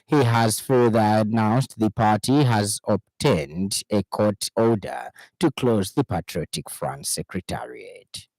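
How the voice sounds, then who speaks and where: A Zambian man reads a radio news bulletin in a lowish voice. His delivery is formal and measured, and the studio sound is dry and close. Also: vocal fry, darkish tone, dry recording, rough recording. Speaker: news reader.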